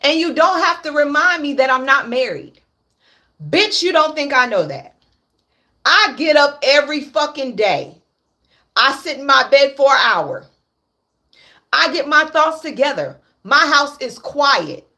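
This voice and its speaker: A woman's voice: sits high, lively intonation, a little slow, sharp tone. A woman in her late thirties speaking on a candid livestream, blunt and profane, repeating her points for emphasis. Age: 30s. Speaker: livestream host.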